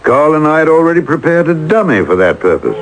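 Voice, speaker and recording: An actor playing an obsequious, excitable schemer in an old-time radio drama, fawning and hesitant. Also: male voice, a little high, quick pace, soft background music. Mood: wry narration. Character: obsequious, flustered male schemer covering up a hoax. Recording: vintage radio drama recording.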